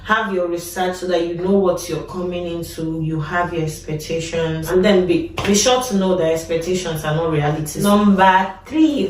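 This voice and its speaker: A woman with a Nigerian accent, a nurse giving advice in a casual vlog, talking conversationally and repeating words for emphasis. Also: low voice. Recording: echoey room, distant from mic.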